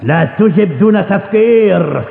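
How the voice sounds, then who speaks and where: A man's voice: high-pitched, expressive, unhurried, creaky, exaggerated voice. A man voicing a stern, taunting monster who sets riddles in a commanding way for a dubbed cartoon. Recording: phone-like band, cavernous reverb.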